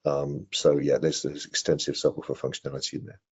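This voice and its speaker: Very low male voice, husky, measured explanatory delivery, muffled video-call sound. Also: monotone, darkish tone. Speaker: product presenter answering questions. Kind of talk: informal technical Q&A in an online training webinar. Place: indoor room on a video call.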